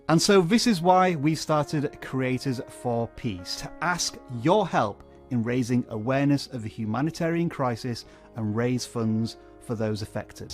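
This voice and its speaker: A man reading a scripted charity appeal in an earnest, urgent way. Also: mid-range voice. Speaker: charity campaign narrator. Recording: music bed under the voice.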